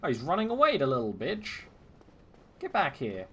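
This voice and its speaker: A man's voice: medium pitch, wildly swooping pitch, moderate pace, pushed and bright, slight background noise. Young guy goofing around on stream, voice jumps all over, jokey and self-mocking. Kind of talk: casual gameplay commentary.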